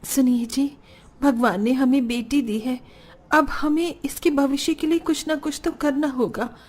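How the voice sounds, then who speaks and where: Animated melodrama: female actor as anxious, devoted woman of a poor household, high register, emotional delivery. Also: measured pace. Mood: earnest. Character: anxious, devoted women of a poor household, mother-in-law and wife. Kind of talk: melodramatic Hindi cartoon family drama.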